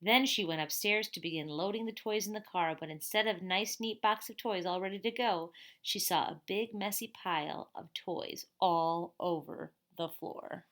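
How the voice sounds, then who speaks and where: A woman reading a picture book aloud, expressive, with chatty asides to young listeners. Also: lowish voice, normal speed, few pauses.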